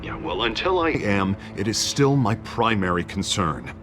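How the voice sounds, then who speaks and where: An actor playing an anxious, self-interested politician in a video game, hesitant, chummy and persuasive. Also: male voice, fairly low pitch, sing-song, normal speed, music in the background. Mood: pleading. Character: male politician, anxious, ingratiating, self-interested campaign candidate.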